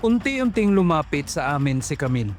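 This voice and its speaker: A man's voice: fairly high pitch, big pitch swings, brisk, faint music behind. A man narrating a first-person horror story in a dramatic, suspenseful manner.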